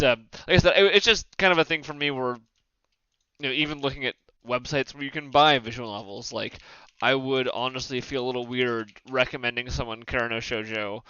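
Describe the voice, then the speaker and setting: A man with a bright, smooth voice hosts a casual panel discussion. He is emphatic and opinionated and swears freely. The sound is dry and a little dull. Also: medium pitch, no room sound.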